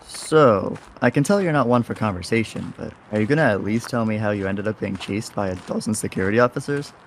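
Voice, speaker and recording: A man's voice: fairly low pitch, fairly quick, slight background noise, natural voice. A man playing an easygoing, cheeky young rogue in an audio drama, talking in casual, wry slang. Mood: chatty, teasing. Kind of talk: audio roleplay drama acting.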